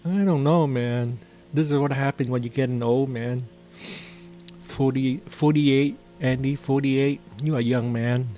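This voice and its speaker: A man's voice: medium pitch, steady tone, measured pace, dark tone. A man with doing casual live trading commentary, chatty and jokey, often repeating his phrases. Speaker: day trader hosting a live trading stream.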